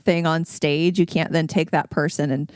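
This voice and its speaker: A woman's voice: low voice, fairly even intonation, moderate pace, darkish tone, clear, resonant tone, dry recording, studio quality. Woman chatting as a podcast guest. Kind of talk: casual chat.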